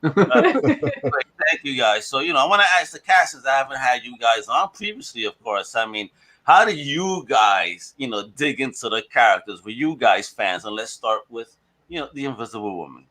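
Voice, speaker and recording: A man's voice: mid-range voice, lively intonation, moderate pace, bright, forceful tone, low-quality recording. A man hosting a podcast with enthusiastic, slangy, showman-style introductions and casual chat. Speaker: podcast host.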